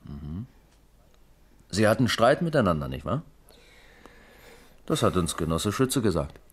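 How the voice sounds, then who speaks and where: Radio crime drama police officer: low voice, measured, formal, insistent questioner. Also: male voice, sing-song, slightly rough, heavily acted. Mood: probing, insistent. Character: persistent, formal East German police first lieutenant, calmly probing. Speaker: actor.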